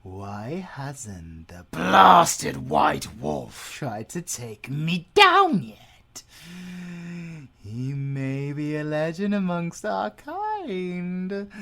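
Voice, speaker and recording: A man's voice: mid-range voice, gravelly, fairly bright tone, full character voice. A British man playing a gloating, theatrical werewolf alpha villain besotted with his mate, in an audio roleplay, swinging between murmurs and outbursts. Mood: gleeful gloating.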